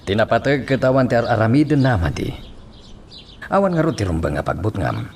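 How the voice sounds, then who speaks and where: Low animated male voice, kindly uncle figure, radio drama, faint background noise. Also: moderate pace, vocal fry. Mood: reassuring.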